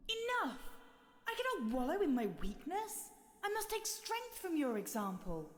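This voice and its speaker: Earnest little warrior, high, echoing. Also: female voice, big pitch swings, normal speed. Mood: determined. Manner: formal, declamatory, valiant. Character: small earnest would-be warrior creature, self-doubting but determined. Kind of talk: theatrical character dub of game dialogue. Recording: echoey hall with long reverb.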